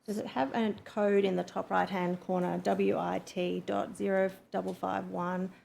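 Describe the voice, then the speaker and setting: Counsel, woman; heavy vocal fry, brisk procedural questioning, faint background noise. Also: mid-range voice, little pitch movement, a little mellow. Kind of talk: formal hearing questioning. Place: public hearing room.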